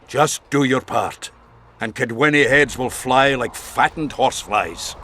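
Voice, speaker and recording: An actor playing a gruff, foul-mouthed dwarf commander in a fantasy video game, blunt and crude. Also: male voice, medium pitch, measured pace, sharp tone, slight background noise. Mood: sardonic, boastful. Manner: blunt, crude, barking orders.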